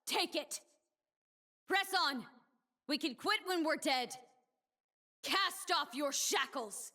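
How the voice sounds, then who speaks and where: A proud, measured warrior woman. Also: high-pitched, lively intonation, bright, forceful tone, exaggerated voice. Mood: rallying, fierce. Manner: solemn, defiant, cutting. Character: proud, stern young woman warrior, a blade dancer defending her homeland. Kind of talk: video game character voice lines.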